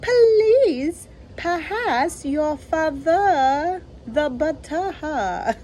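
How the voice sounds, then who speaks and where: A woman voicing a storybook mother speaking in a high, unnatural, over-careful voice. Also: lively intonation, extremely slow, a little mellow, a little hiss or hum, heavily acted. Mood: strained.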